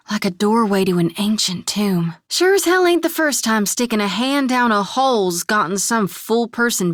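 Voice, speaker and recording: Folksy young priestess, plainspoken, slightly high voice, dry close recording. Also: female voice, a bit bright, no room sound. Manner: folksy, plainspoken, lots of "ain't" and "well, hell". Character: devout young female priest, folksy and earnest. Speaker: party companion. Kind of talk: video game voice lines.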